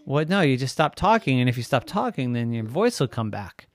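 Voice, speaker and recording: A man voicing a playful, easygoing dad who talks in a raised, sing-song, animated way. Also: mid-range voice, a little fast.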